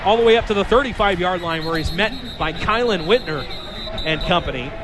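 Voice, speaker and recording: Casting: American male radio play-by-play announcer, high-pitched voice, calling live action. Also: lively intonation, brisk, rough recording. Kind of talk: live sports commentary.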